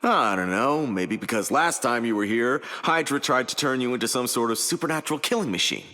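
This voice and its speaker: A caring hero teammate in a video game, with a bright, forceful voice and a lively, swooping pitch. He talks earnestly and directly. A long reverb hangs behind him. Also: brisk. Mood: concerned.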